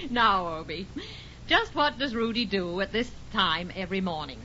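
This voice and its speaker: Bright-voiced, animated no-nonsense radio housewife. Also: female voice, medium pitch, unhurried. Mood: brisk. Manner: bossy, expressive. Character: brisk, no-nonsense housewife taking over the office. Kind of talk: 1940s radio situation comedy acting. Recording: muffled 1940s radio recording, faint noise.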